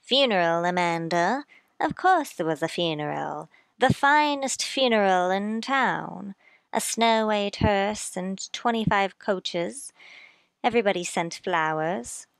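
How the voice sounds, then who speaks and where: A woman's voice: mid-range voice, lively intonation, slow pace, gravelly, smooth, dry recording. A woman playing a gossipy, matter-of-fact small-town matron in an old-time radio play, savoring every detail.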